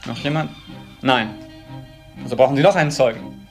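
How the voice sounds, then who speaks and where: An actor playing a protective, defiant brother in a crime radio play, arguing indignantly. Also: male voice, medium pitch, moderate pace, soft background music, natural voice. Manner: argumentative, indignant, answering for others. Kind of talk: German crime radio drama dialogue.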